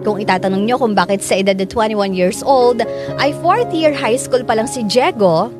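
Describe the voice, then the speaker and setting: Woman playing a young filipino teacher telling her story, slightly high voice, prominent music bed. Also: moderate pace, close to an everyday voice. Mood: reflective. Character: young female teacher narrating her own love story. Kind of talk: scripted radio drama narration. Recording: music under narration.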